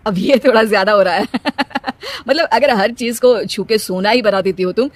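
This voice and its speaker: A woman's voice: a little high, measured pace, dry recording. A woman voicing a teasing young husband in a cartoon story, sarcastic and exasperated.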